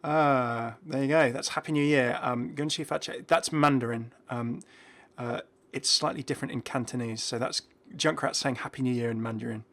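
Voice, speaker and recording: Man, gaming video host, mid-pitched voice, casual chatty commentary, slightly hesitant, unscripted reactions. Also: fairly even intonation, moderate pace, few pauses, a bit bright.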